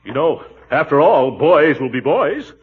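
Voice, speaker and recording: An actor playing a pompous, chuckling middle-aged uncle who schemes sheepishly, in a 1940s radio sitcom style. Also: male voice, on the high side, big pitch swings, a little slow, slightly rough, exaggerated voice. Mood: blustering, self-important. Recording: tinny, hissy 1940s radio broadcast.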